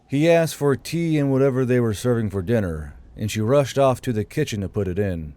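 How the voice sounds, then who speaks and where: A man's voice: medium pitch, mellow, no room sound. A man hosting and narrating a scripted story in audiobook style. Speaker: narrator and channel host.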